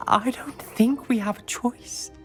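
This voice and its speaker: An actor playing a troubled young male student who speaks gravely and hesitantly in a video game. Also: very high voice, takes it slowly, fairly bright tone, soft background music, heavily acted.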